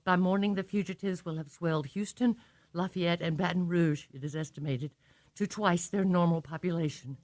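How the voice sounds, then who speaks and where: An actor playing a grave radio news announcer, formal and portentous, reading emergency bulletins in a radio drama. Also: male voice, sits high, moderate pace, dry recording, lightly acted. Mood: grave, urgent.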